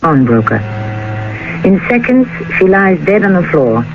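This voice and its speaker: Gravelly, high-pitched male radio host. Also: very animated. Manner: solemn, measured, ominous. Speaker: host and narrator. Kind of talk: dramatic scripted radio narration. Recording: tinny, noisy old radio recording.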